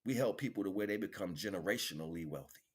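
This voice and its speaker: A man's voice: low voice, lively intonation, quick pace. A man giving an improvised motivational monologue as a livestream host, preaching in colloquial phrasing with emphatic repetition.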